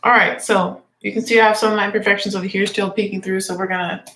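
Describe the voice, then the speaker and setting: A woman's voice: mid-range voice, level tone, fast, fairly bright tone. A young woman with a congested, stuffy voice, chatting casually and rambling as she does her makeup. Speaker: beauty vlogger and teacher. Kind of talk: casual get-ready-with-me chat. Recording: echoey room.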